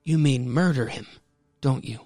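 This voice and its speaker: A man's voice: medium pitch, measured pace, dark tone, no room sound, soft background music, natural voice. Man playing a curt, wary young wife. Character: cold, wary young wife in a crime melodrama.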